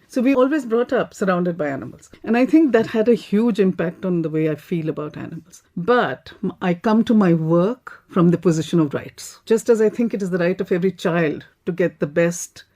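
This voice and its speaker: Dark-toned woman, firm and deliberate. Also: lowish voice. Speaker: panel guest, animal rights activist. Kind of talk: earnest panel discussion.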